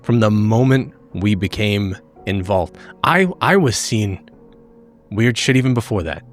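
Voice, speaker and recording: Deep-voiced, blunt male roleplayer. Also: expressive. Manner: blunt, sweary, halting. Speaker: tabletop game player. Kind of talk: casual improvised in-character roleplay. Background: background music.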